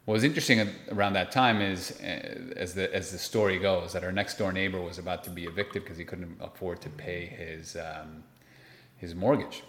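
A man's voice: low-pitched, steady tone, normal speed, a bit bright. A man from Peru in his forties, an interview guest telling personal stories in a conversational way. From Lima, Peru. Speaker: interview guest, investment manager. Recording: roomy, echoey sound.

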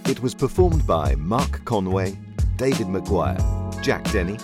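An English man reads the credits of an audio drama in a plain, steady manner. His voice is low with lively pitch, and loud music plays beneath him. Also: unhurried. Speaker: credits announcer. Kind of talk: scripted credits reading. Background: music underneath.

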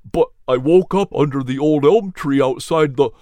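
A man's voice: on the high side, dark tone, dry recording, lightly acted. Man doing a weary, dazed hound dog. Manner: halting, dazed, hesitant.

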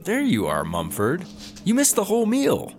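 A man's voice: high-pitched, wildly swooping pitch, normal speed. A man playing a blithe, gullible, self-important squad partner in a sci-fi comedy audio drama. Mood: blithe, dismissive.

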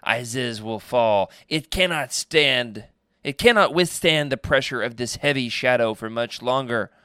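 A man's voice: sits low, fairly even intonation, moderate pace, gravelly, a little mellow, smooth, clean studio sound. Guy doing a beat-up half-dead prisoner, defiant, voice all strained, storybook reading, no echo. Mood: defiant, anguished. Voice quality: ruined, strained voice.

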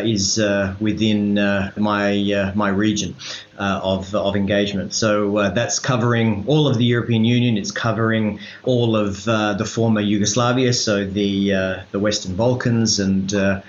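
An Australian man giving formal interview answers as an expert advisor, in a halting, measured way with careful official wording. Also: on the low side, a little hiss or hum. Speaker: road safety regional advisor, interview guest. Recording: roomy echo, dull lo-fi sound.

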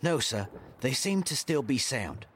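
An actor playing a dutiful, cautious first mate in a nautical audio drama, speaking formally and respectfully. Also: male voice, medium pitch, sing-song, measured pace, a little hiss or hum. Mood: respectful, concerned.

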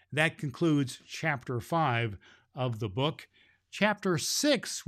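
A man's voice: on the high side, fairly bright tone. A man hosting a children's podcast in the role of Santa Claus, reading a storybook chapter aloud with grandfatherly chuckles.

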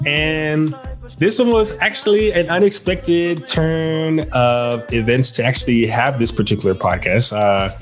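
A man's voice: fairly high pitch, unhurried, soft background music. A man from Texas hosting a podcast, chatting casually and loosely with plenty of "like" and "you know". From Texas, United States. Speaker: podcast host. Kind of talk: casual conversational interview.

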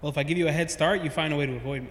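A man's voice: fairly high pitch, racing, fairly bright tone, reverberant, long reverb tail, slight background noise, lightly acted. An actor playing a middle-aged, self-deprecating estranged father in an audio drama, dry and hesitant. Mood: awkward, defensive. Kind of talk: naturalistic audio drama acting.

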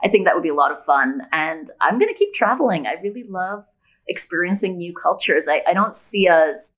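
A woman's voice: on the low side, expressive, a little fast, fairly bright tone. A woman speaking as an author guest in a casual interview, chatty and enthusiastic.

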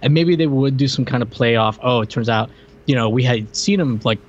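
A man's voice: mid-range voice, fairly quick, muffled recording. A man co-hosting a podcast, discussing films casually in loose, slangy, conversational phrasing. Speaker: podcast co-host.

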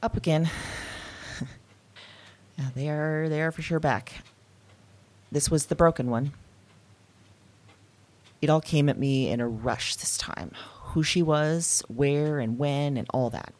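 A woman playing a haunted dreamer in an audio drama, haltingly recounting visions of past lives. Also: deep voice, a little mellow. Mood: relieved, unsettled. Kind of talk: confessional audio drama monologue, halting recollection.

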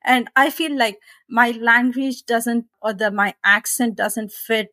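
A woman's voice: fairly high pitch, fairly even intonation, slow, bright, forceful tone, dry recording. A middle-aged woman from India with an Indian English accent, answering interview questions earnestly and with determination. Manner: earnest and determined, thinks aloud with restarts. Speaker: guest. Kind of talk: conversational interview.

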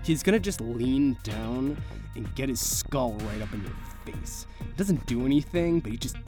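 A man's voice: mid-range voice, animated, measured pace. A man narrating an improvised tabletop game as game master, casual and vivid in his describing. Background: music.

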